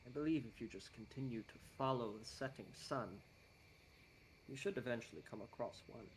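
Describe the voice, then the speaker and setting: A man's voice: medium pitch, fairly quick, slight background noise, lightly acted, low-quality recording. A man voicing a courteous, scholarly male desert guide in a put-on Icelandic accent, speaking formally and explaining at length.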